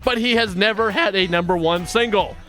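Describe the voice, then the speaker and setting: A man's voice: high voice, fairly quick, a bit bright, faint music behind, poor audio. A man in his thirties hosting a casual countdown chat, upbeat, reading out chart facts and laughing often. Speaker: radio show host.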